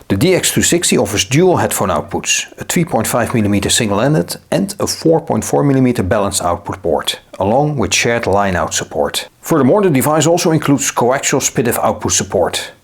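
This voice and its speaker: A man's voice: medium pitch, vocal fry, slightly rough. A man reading a scripted tech product review in a polished, formal, promotional way.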